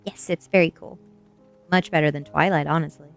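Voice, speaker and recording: Woman, low smooth voice, gentle ASMR book reader, soft-spoken streamer, faint music behind. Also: steady tone, a little fast. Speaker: streamer reading a book aloud. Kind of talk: ASMR book reading with casual asides to viewers. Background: faint background music.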